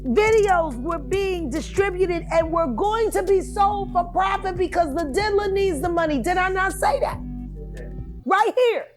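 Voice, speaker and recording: A woman speaks in a strikingly high voice. Her commentary is emphatic, indignant and insistent, with music running behind it. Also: animated, unhurried.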